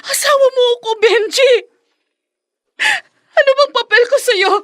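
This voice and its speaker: A fed-up hurt wife in a radio soap, really high bright voice, tearful. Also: female voice, a little slow. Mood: hurt, accusing. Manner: tearful, impassioned, pleading. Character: hurt, fed-up wife and mother at breaking point. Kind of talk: radio drama acting.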